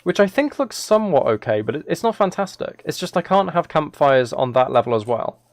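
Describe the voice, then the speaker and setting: A man's voice: fairly high pitch, very animated, a little fast. A man giving casual gaming livestream commentary, thinking aloud and correcting himself as he chats with viewers. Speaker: live streamer.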